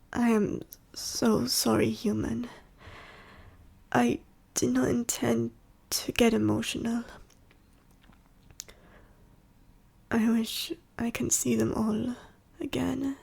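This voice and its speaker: Casting: woman to play an ancient dying she-dragon for ASMR roleplay; whispery, husky, slow and halting, gentle and sorrowful. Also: a little high, little pitch movement, full character voice. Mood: sorrowful, emotional. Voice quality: breathy, whispery, raspy. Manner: halting, slow, solemn storytelling. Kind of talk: ASMR audio roleplay monologue to the listener.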